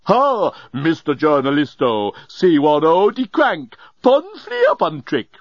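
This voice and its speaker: A man's voice: a little high, expressive, normal speed, dry recording, dull audio, natural voice. A man voicing a great old alien genius in an audiobook, maudlin and drunk, with effusive, slurred declaiming. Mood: drunk, adoring.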